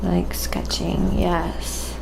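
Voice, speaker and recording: A woman hosting a casual painting livestream, chatting with viewers and thinking aloud in a relaxed, halting way. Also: lowish voice, gravelly, background noise. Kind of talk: casual livestream chat while painting, reading viewer comments.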